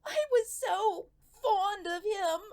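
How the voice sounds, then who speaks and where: A woman's voice: very high-pitched, slow, darkish tone. A woman playing a weepy, grieving sweetheart in a radio drama, crying and wailing melodramatically. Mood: sobbing grief.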